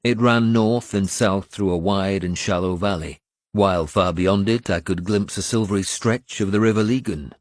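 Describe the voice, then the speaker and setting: A man narrating first-person historical fiction as a scripted audiobook, with measured, dramatic storytelling. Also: low-pitched, dry recording, clean studio sound.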